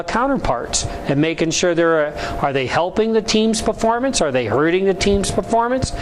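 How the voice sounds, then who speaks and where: A man giving an informal promotional explainer as a sales presenter, in an instructional, salesman-like manner. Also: sits high. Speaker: business phone tools sales presenter. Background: ambient vehicle noise in a motorcycle service department.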